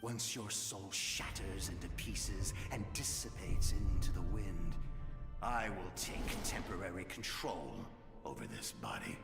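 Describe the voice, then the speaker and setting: An actor voicing a scornful, ruthless warrior spirit in a video game, taunting with slow menace. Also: male voice, animated, full character voice. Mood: threatening. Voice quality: low gravelly menacing whisper. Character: scornful ruthless male warrior spirit inside a host body. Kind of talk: dramatic video game voice acting. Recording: reverberant game audio under loud music.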